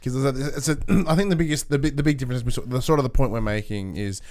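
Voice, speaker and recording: A young Australian man co-hosting a podcast, chatting casually in a halting, rambling way. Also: medium pitch, a little mellow. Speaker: podcast co-host. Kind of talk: casual chat.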